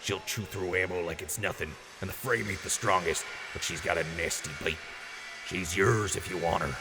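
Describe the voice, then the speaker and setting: A man playing a boisterous, generous wasteland quartermaster in an audio drama, with a hyped showman's delivery. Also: moderate pace. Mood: proud, easygoing. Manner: hyped showman with booming catchphrase outbursts. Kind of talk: post-apocalyptic audio drama acting. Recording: noisy, reverberant mix.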